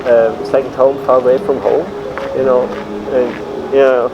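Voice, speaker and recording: A man in his forties from Germany, a native German speaker with a German accent, talking haltingly and casually and leaning heavily on "you know". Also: mid-range voice, little pitch movement, highs cut off. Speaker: interview guest. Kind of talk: casual interview chat. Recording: noisy background with wind on the mic.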